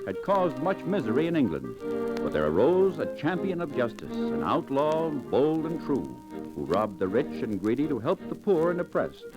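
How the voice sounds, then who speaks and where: A man's voice: medium pitch, wildly swooping pitch, moderate pace, low-quality recording. A man narrating in a grand, dramatic 1940s radio announcer style, reading scripted storytelling copy. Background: loud music.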